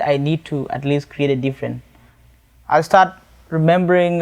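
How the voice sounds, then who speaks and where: A young man from South Sudan with a South Sudanese accent, giving a prepared personal testimony in an earnest, deliberately phrased way. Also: on the high side, a little mellow. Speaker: youth activist and charity event speaker.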